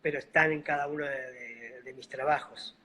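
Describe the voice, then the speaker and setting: A middle-aged man from Buenos Aires with a soft Argentine accent that carries a Bolivian lilt, calmly telling stories as the guest of a video-call interview. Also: medium pitch, a little hiss or hum. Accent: Argentine Spanish, slightly Bolivian-tinged. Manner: unhurried, gentle, fond of diminutives. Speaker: guest, mime and clown actor. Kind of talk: casual interview storytelling.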